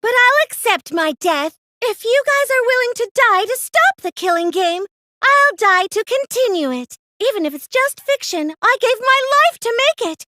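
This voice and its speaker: A woman's voice: extremely high pitch, lively intonation, normal speed, bright, forceful tone, no echo, studio quality, full character voice. A woman playing a girlish, smug mastermind in an English anime-style game dub, cheerfully menacing, theatrical and taunting as she insists on her point. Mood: smug, devoted. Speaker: video game voice actor.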